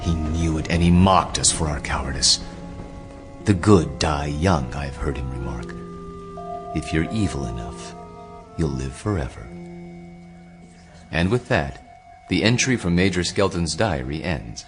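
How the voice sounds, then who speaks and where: Casting: intense history professor for radio drama, extremely low voice, measured oratory, music underneath. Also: male voice, lively intonation, exaggerated voice. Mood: bitter, intense. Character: captivating male history professor, grave, intense, bitter. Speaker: actor in a radio play. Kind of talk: dramatic radio play acting, lecturing.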